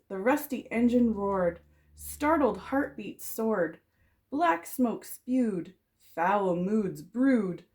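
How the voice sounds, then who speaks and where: A woman reads a picture book aloud like a kindergarten teacher. Her voice is fairly high and swings widely in pitch. She takes it slowly and stretches rhyming words with dramatic expression. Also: a little mellow. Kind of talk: children's storybook read-aloud.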